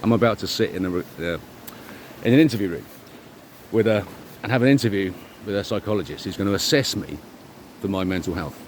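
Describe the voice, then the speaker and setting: A middle-aged man giving a rambling, informal monologue, speaking emphatically and self-assuredly in halting, repeated phrases. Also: low voice, slight background noise. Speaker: vlogger.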